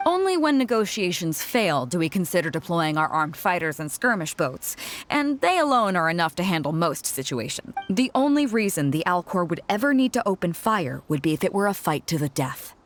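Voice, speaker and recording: A woman's voice: on the low side, big pitch swings, brisk, soft background music. A woman voicing a bold, confident captain of an armed pirate fleet in a video game, with a commanding, teasing manner. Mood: firm, instructive. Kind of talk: video game character acting.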